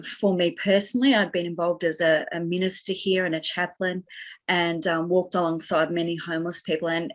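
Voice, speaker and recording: A woman minister with an Australian accent and a scratchy voice, telling a passionate, earnest story at an online church workshop, with many ums. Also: lowish voice. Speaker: minister and chaplain.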